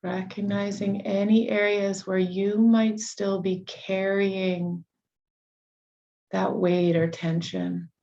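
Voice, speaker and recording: A woman leading a guided meditation, giving soothing, unhurried instructions with long pauses between phrases. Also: medium pitch, little pitch movement, warm tone, highs cut off.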